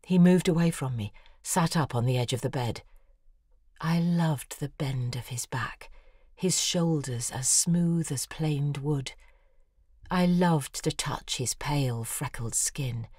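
An actress playing a lovelorn, obsessive woman, narrating an intimate audio drama in a hushed, measured, confessional way. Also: deep voice, breathy edge, dry recording, studio quality, exaggerated voice. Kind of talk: intimate scripted first-person drama narration.

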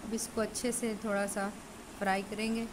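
A woman home cook talks casually through each step of her recipe. Her voice sits at a medium pitch. A phone over the pan picks her up with clear background noise and sizzling. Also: level tone, moderate pace. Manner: chatty, explains steps while cooking. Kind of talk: cooking tutorial narration. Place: home kitchen at a stove. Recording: noisy home recording.

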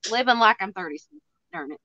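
A woman's voice: a little high, lively intonation, fast talker, creaky, sharp tone, no room sound, muffled recording. A woman in her thirties with a Southern US accent, chatting and joking casually while hosting a craft livestream. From Tennessee, USA. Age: 30s. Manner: chatty, joking, self-mocking.

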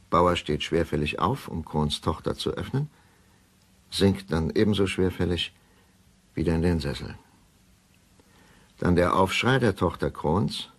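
Deep, gravelly brooding doctor. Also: male voice, full character voice. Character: grave, obsessive male doctor confessing his experiment. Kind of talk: German radio drama monologue.

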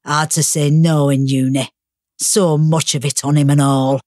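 A woman's voice: low-pitched, flat delivery, measured pace, warm tone, no echo, clean studio sound. A woman playing a bitter, hard-drinking working-class mother with a Northern English accent, blunt and sweary, in a gritty audiobook drama. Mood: guarded, evasive.

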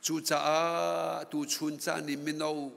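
A man's voice: on the high side, level tone, slow, vocal fry, fairly bright tone. A middle-aged man preaching a sermon from a pulpit microphone in a large church hall, rising to emphatic passages. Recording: long church hall reverb.

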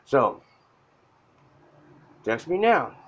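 A soft-spoken man giving a blunt, profane, pushy motivational talk straight to the camera as a self-help vlogger. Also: medium pitch, very animated, extremely slow, husky. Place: indoor room at a whiteboard. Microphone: phone camera mic.

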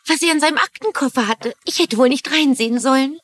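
A woman's voice: high-pitched, fast talker, dry recording, lightly acted. A woman playing an earnest office secretary in a dubbed TV drama, explaining carefully.

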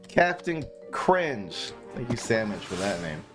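A man's voice: fairly low pitch, very animated, slow pace. Streamer, man, 30s, loose self-mocking play-by-play, game music underneath. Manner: chatty, self-mocking, reads chat aloud. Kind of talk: casual gameplay commentary. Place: small room indoors.

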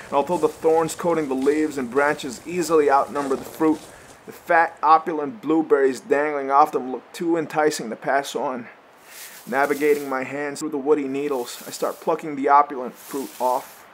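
A man's voice: a little high, steady tone, moderate pace, slight background noise, natural voice, poor audio. An actor playing a weary, sweary survivor narrating his own trek in a dramatic audio series. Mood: weary, determined. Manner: casual, hesitant in dialogue, swearing. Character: sweary, weary male survivor trekking through snowy wilderness. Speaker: narrator and lead character. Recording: music bed under the voice. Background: soundtrack music.

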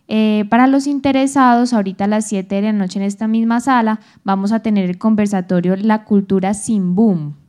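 Mellow young woman presenter. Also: mid-range voice, steady tone, normal speed, hardly any pauses. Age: young adult. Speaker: event presenter. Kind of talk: reading announcements. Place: auditorium stage. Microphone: handheld microphone.

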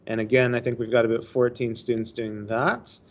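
A man's voice: mid-range voice, flat delivery, fairly quick. Middle-aged guy teacher, easygoing, um-heavy, muffled webcam sound. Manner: casual, chatty, with fillers. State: hoarse, coughing. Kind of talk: screen-share presentation. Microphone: webcam on a laptop.

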